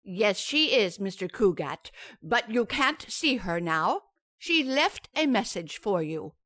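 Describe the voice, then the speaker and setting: An actress playing a polite, businesslike hospital desk nurse in an old-time radio sitcom. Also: female voice, medium pitch, very animated, takes it slowly, a bit bright, dry recording, exaggerated voice. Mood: patient. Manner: polite, composed.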